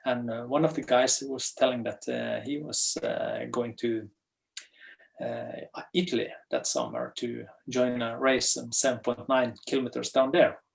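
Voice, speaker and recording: A man in his fifties with a Norwegian accent speaks English as a second language. His voice sits at a middle pitch with a gravelly, fry-laden edge. He talks in a halting, stop-start way, over a video call. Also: lively intonation, rough recording. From west coast of Norway. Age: 50s. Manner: halting, many fillers and restarts. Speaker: guest, ultra runner. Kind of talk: casual storytelling in a webinar.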